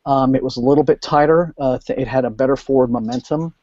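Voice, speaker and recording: Male book-show host, unscripted and halting, thinks aloud; dry, lo-fi online audio. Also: medium pitch, fairly even intonation, a little mellow, no room sound. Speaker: host of a book discussion liveshow. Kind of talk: casual unscripted book discussion. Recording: dull, lo-fi online call audio.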